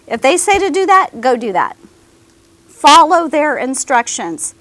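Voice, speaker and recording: A woman's voice: sits high, very animated, a little slow, fairly bright tone, no room sound. A woman giving a career services presentation to a class of students, talking to them directly and often checking in with "okay?". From American South.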